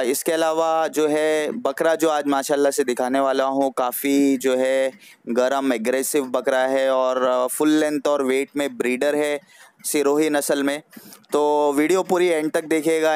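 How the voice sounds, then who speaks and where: A man's voice: mid-range voice, little pitch movement, measured pace, clear, resonant tone, no room sound. A man hosting a livestock sales vlog, repeating stock phrases and mixing in English words. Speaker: video channel host. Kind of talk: vlog presentation of livestock for sale.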